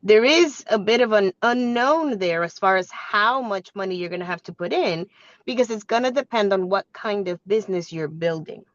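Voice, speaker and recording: A woman with a slightly hoarse voice from a cold, presenting like a business coach in a conversational, instructive way. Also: mid-range voice, animated, normal speed. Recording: echoey room, dull muffled sound.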